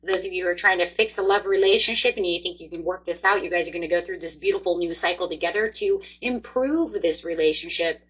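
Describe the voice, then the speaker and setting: A woman's voice: fairly low pitch, quick pace, few pauses, fairly bright tone, breathy edge, rough recording. A woman in her forties giving a chatty, upbeat tarot card reading, speaking straight to her viewers. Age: 40s. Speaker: tarot reader.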